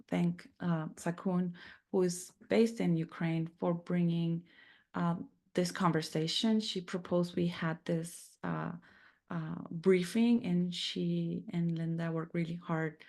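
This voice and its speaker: Gravelly low-voiced woman hosting. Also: a little mellow. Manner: hesitant, many fillers and restarts. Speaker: club president-elect and host. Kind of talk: introducing a panel briefing.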